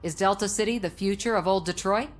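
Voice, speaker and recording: A woman playing a polished, brisk television news reporter conducting an interview in a video game. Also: mid-range voice, a little hiss or hum, natural voice. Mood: brisk, professional.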